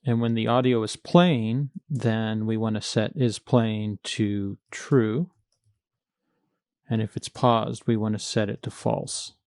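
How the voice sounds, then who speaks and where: A man's voice: lowish voice, steady tone, slow, a little mellow, dry recording, clean studio sound. A man narrating a step-by-step coding tutorial, informally thinking aloud as he works. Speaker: programming tutorial instructor.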